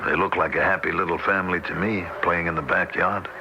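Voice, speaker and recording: An actor playing a weary, wry, sympathetic middle-aged commuter in an old-time radio drama, talking conversationally. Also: male voice, sits low, steady tone, a little fast, noisy background. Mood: concerned.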